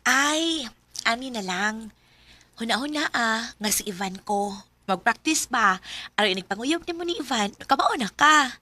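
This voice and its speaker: A gentle teenage girl in a Philippine radio drama, high and bright in voice. She has a Filipino accent and speaks with earnest, emotive feeling, as one who hides her love. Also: animated, moderate pace, no room sound. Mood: helpful, teasing.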